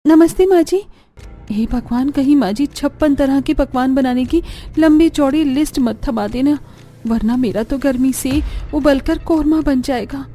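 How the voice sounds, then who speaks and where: Woman playing a flustered, high-voiced young daughter-in-law. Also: measured pace, dark tone, faint music behind. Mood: polite, then exasperated. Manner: dramatic, complaining. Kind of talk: Hindi cartoon story acting.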